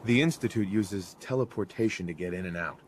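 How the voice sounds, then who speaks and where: A man's voice: low voice, brisk, slight background noise, close to an everyday voice. A man playing a determined, earnest soldier hero in a video game, direct and plainspoken. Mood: matter-of-fact. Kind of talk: video game cutscene acting.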